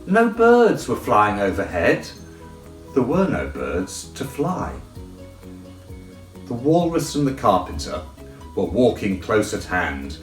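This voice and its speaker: A man narrating a children's storybook in an expressive, theatrical way. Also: fairly low pitch, takes it slowly, long pauses, roomy sound, recorded from a distance, soft background music. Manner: theatrical, animated. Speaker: audiobook reader.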